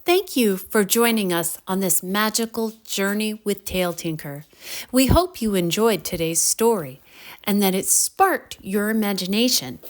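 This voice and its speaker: Slow, expressive woman storyteller. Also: medium pitch. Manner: expressive, deliberate storybook delivery. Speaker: narrator. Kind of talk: scripted children's bedtime storytelling.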